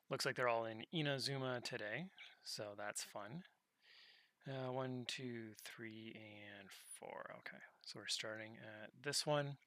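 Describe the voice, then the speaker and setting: A man's voice: mid-range voice, noisy background. A man streaming a game, chatting casually and muttering to himself as he plays. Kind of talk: casual gameplay commentary. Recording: game music loud behind.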